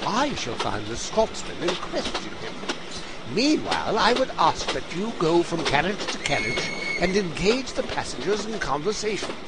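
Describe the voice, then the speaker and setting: An actor playing a theatrical, self-dramatising gentleman detective in an audio comedy drama, with a declamatory manner. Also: high-pitched, very animated, moderate pace, very noisy. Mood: decisive.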